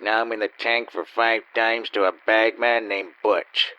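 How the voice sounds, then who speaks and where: A man's voice: fairly low pitch, unhurried, smooth, lightly acted. Man acting a wry hardboiled gambler, dull recording. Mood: wry, cynical. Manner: wry, world-weary, deadpan. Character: down-and-out cynical male gambler and con man. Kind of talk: hardboiled noir radio play narration. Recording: dull, slightly lo-fi.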